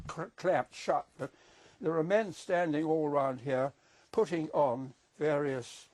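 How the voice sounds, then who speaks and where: A man past seventy talks in a fairly high voice. He explains things off the cuff like a veteran showing visitors round, halting and restarting, with emphasis on key words. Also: steady tone, a little mellow. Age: over 70. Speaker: veteran giving a guided explanation. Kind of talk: unscripted explanation.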